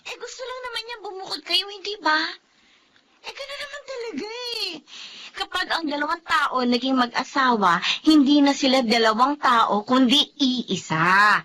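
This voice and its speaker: A woman's voice: sits high, expressive, moderate pace, bright, forceful tone. A woman with a Filipino accent playing a blunt, admonishing confidante in a radio drama. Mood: scolding. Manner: emphatic, admonishing. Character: blunt, scolding female confidante lecturing a young wife.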